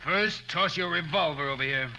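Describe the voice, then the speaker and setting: An actor playing a cold, calculating killer holding a hostage in a 1940s radio crime drama, threatening in a smooth-talking way. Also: male voice, on the high side, moderate pace, close to an everyday voice. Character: menacing, calculating male murderer taking a hostage at knifepoint. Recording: old radio recording, faint noise.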